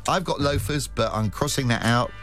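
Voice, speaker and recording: A man's voice: medium pitch, normal speed, over music. A male radio presenter running a listener quiz, chatty and self-deprecating, laughing often. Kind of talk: local radio quiz chat.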